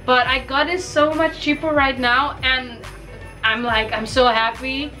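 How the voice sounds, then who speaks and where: A woman's voice: high voice, fairly even intonation, a little slow, sharp tone, soft background music, low-quality recording. Chatty young woman with a cold. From Caribbean. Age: young adult. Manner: chatty and upbeat, gushing. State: has a cold, voice may crack. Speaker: fashion vlogger. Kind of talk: casual shopping haul vlog. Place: bedroom, indoors.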